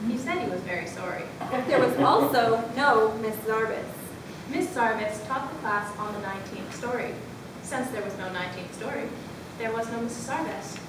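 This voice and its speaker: High-voiced woman narrating in very echoey room. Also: distant mic. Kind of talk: scripted readers theatre storytelling. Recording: echoey room from afar, rumbling background noise.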